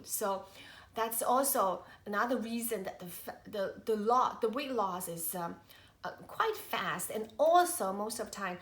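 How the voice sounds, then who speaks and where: A woman in her thirties, a mother of three, chatting casually to camera in a halting, rambling way, her voice nasal from a cold. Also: a little high, fairly bright tone. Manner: rambling, halting, many fillers and restarts. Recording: echoey room.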